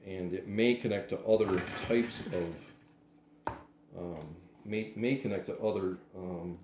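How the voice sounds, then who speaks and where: A man's voice: sits low, darkish tone. A man giving a casual, unscripted hands-on product unboxing in a plain-spoken, hesitant way. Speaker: product reviewer doing an unboxing. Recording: roomy echo, faint background noise.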